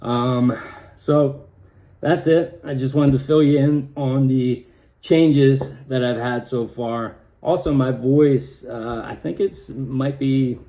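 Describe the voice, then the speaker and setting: A man with a slightly raspy, hoarse voice talking casually in a personal vlog, with many halting restarts. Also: medium pitch, steady tone, warm tone. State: hoarse from pollen. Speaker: vlogger.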